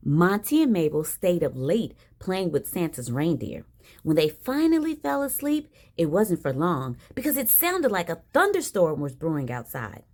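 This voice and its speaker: A woman reading a children's picture book aloud in an expressive style with dramatic emphasis. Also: lowish voice, moderate pace. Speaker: storybook reader. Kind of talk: animated children's read-aloud.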